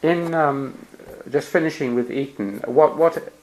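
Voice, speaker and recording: Gently prompting male interviewer. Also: on the high side, a little slow, a little hiss or hum, rough recording. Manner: gentle prompting with murmured "hmm" and soft laughs. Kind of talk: interview questioning.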